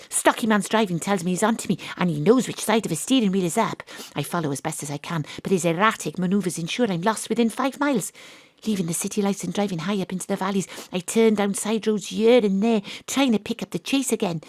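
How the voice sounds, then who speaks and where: A woman acting a hard-boiled female private eye telling her own case in an audio drama. Her voice is husky and breathy, recorded close and dry. She is confiding and theatrical, with deadpan noir humor. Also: medium pitch, fairly quick, dry recording, close to an everyday voice. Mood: confiding, suspenseful. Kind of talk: first-person audio drama narration. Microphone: close studio mic, home studio.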